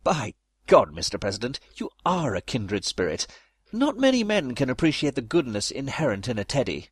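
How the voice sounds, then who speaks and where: A pompous yet childlike British statesman acted by a man for an audio comedy. His voice is raspy and dry, with huge theatrical swings in pitch. He speaks formally, with sentimental, effusive warmth. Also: huge pitch swings, normal speed, dry recording. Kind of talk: comedic audio drama acting.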